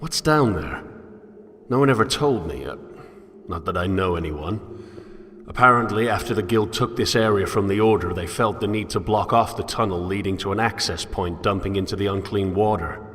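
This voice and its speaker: An actor playing a brooding, philosophical loner in a video game, musing introspectively in formal, literary phrases. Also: male voice, low voice, moderate pace, fairly bright tone, slight background noise. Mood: musing, wry. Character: brooding, philosophical male loner narrating his wanderings. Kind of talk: scripted first-person game monologue. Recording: long echoing reverb under music.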